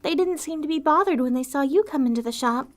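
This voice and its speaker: A woman voicing a gentle, weary female vampire outcast in an ASMR roleplay, soft-spoken, wistful and earnest, with formal wording. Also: high voice, quick pace, soft background music, close to an everyday voice. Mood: tired, reassuring.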